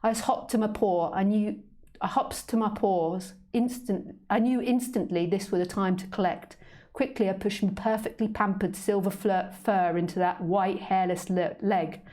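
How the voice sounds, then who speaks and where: A woman's voice: medium pitch, fairly even intonation, moderate pace, warm tone, lightly acted. A woman reading her storybook as a vain, proud male street cat who speaks in working-class London slang. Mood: smug.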